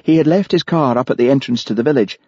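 A man's voice: medium pitch, fast talker, darkish tone, dry recording, muffled recording, pitched above the performer's own voice, natural voice. A man voicing a woman who confesses to murder, unrepentant and matter-of-fact, in an audiobook. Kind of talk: audiobook character voice.

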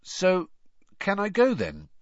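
A cautious, polite teenage boy as a male narrator puts him on. The voice sits higher and moves in a lively way, careful and innocent in manner. It is a storybook reading. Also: slow pace, dry recording, highs cut off, heavily acted. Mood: tentative, hopeful. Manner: careful, trying to sound innocent.

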